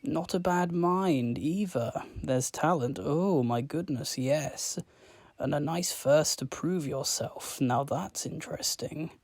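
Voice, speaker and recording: A woman reading a storybook, voicing a pondering old magical talking hat with a slow, drawn-out, musing delivery. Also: sits low, darkish tone, no echo, pitched below the performer's own voice, exaggerated voice. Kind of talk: storybook read-aloud character voice.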